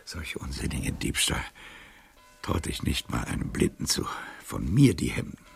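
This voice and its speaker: An actor playing a frail, proud, aging gallant in a radio drama, musing theatrically in a husky, gravelly voice. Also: male voice, extremely low pitch, sing-song, faint music behind, exaggerated voice. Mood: grumbling, bitter. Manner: slow, theatrical, musing to himself. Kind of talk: literary radio drama acting.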